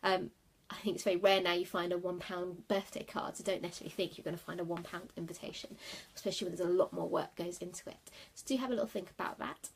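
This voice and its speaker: A woman's voice: on the low side, steady tone, quick pace, creaky, a little hiss or hum, poor audio. A woman with a sniffly cold, giving chatty, rambling wedding-planning tips on a livestream from her office at night. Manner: chatty, rambling, many fillers.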